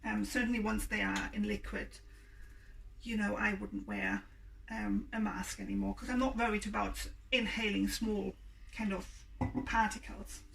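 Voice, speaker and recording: A woman with a croaky voice, chattily demonstrating a craft and hesitating with many ums. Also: fairly high pitch, a bit bright. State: croaky voice, clearing throat. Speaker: yarn dyer and shop owner. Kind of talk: casual demonstration video. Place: home workspace with hot plate. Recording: distant mic with faint hum. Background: faint hum of a hot plate.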